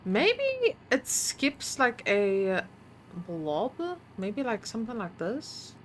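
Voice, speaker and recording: A woman's voice: fairly high pitch, wildly swooping pitch, takes it slowly, a little hiss or hum. A woman streamer casually commenting on her game, muttering to herself while solving puzzles and chatting with viewers.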